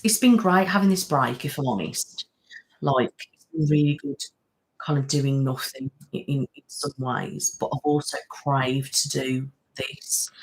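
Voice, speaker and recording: A woman's voice: low-pitched, poor audio. A woman, chatting casually on a live stream and answering viewers' comments in a rambling, friendly way. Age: 30s. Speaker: ASMR channel host.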